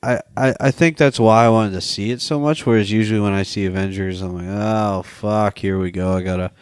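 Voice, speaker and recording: A man's voice: lowish voice, fairly even intonation, brisk, clean tone. A man co-hosting a film podcast, giving his opinions in a wry, conversational way with casual swearing. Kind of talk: casual film review discussion.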